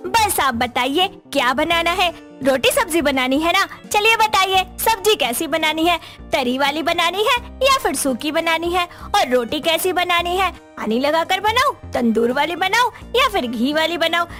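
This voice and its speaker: A woman's voice: extremely high pitch, lively intonation, a little slow, hardly any pauses, bright, forceful tone, soft background music, heavily acted. A woman voicing a bubbly young bride in an audio storybook, chirpy and insistent with endless curious questions. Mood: eager, questioning.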